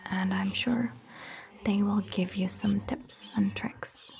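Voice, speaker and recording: A woman playing a gentle, reassuring vampire doctor in a whispered, breathy ASMR roleplay, soothing and patient. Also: mid-range voice, steady tone. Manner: slow, soothing, patient explaining.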